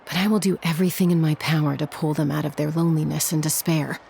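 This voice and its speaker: A subdued, dutiful young woman from a video game. Her voice has a creaky, gravelly edge and a reserved, sincere delivery weighted with grief. Faint ambient noise lies behind it. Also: deep voice, fairly even intonation, fast talker, dark tone. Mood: solemn, determined. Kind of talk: scripted video game voice acting.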